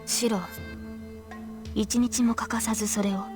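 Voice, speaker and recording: A woman's voice: on the high side, flat delivery, fairly quick, close to an everyday voice. A woman playing a polite, solemn female knight spirit, formal and composed, in a Japanese visual novel. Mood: polite concern. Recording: game music behind the voice.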